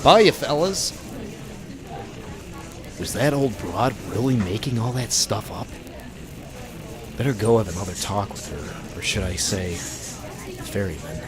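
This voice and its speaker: An actor playing a cool, tough vigilante hero with clipped delivery in an old-time radio crime drama. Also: male voice, on the low side, expressive, a little slow, long pauses, a crowd in the background. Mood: wry.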